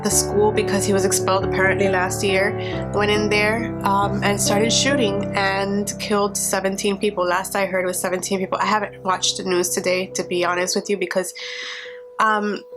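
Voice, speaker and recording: A woman's voice: mid-range voice, flat delivery, moderate pace. A congested woman with a cold, talking to her viewers in a hesitant, earnest way, with many ums and restarts. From Florida. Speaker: YouTuber, mother of two. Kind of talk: casual vlog talk to camera. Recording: music playing behind the voice. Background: soft guitar music.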